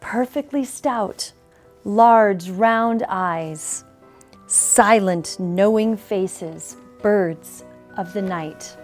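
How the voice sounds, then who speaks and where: A woman reading a children's picture book aloud in a deliberate, measured way with dramatic pauses. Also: medium pitch, soft background music. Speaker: story reader, school superintendent.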